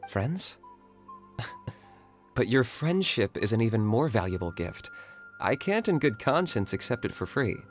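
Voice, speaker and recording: Game role: calm young fighter, even-toned and measured. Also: male voice, medium pitch, soft background music. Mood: earnest. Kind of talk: video game voice acting.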